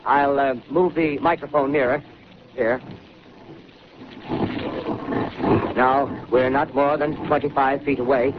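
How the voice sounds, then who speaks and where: A man playing an excitable, rattled radio reporter in a 1930s radio drama, halting and formal as he describes a scene live. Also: mid-range voice, natural voice. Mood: tense. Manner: halting, urgent, formal address to listeners. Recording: muffled, noisy old 1930s radio broadcast.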